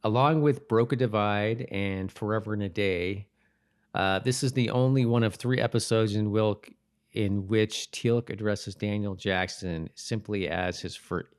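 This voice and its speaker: A man with a fairly low voice talks casually as a podcast co-host. His delivery is halting, and he often doubles back to restart a phrase. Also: clean tone. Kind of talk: casual chat about a TV episode.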